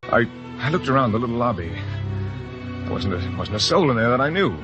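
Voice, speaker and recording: An actor playing a guilt-ridden, anxious husband telling his story in a halting, overwrought old-time radio drama style. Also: medium pitch, big pitch swings, muffled recording, natural voice. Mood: brooding, troubled. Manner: halting, stammering, overwrought delivery. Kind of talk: old-time radio drama acting, first-person confessional narration. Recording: old radio broadcast with music bed.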